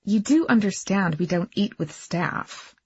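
Woman playing a haughty, husky rich daughter. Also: medium pitch, lively intonation, measured pace, darkish tone, dry recording, muffled recording, exaggerated voice. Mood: condescending. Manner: condescending. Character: haughty, entitled rich daughter, coolly snide.

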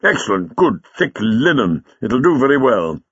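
Man playing a brisk, breezy heroic doctor. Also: medium pitch, big pitch swings, a bit bright, no echo, highs cut off. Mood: brisk confidence. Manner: crisp orders, breezy authority. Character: confident, brisk male doctor hero taking charge. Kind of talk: dramatic audiobook character voice.

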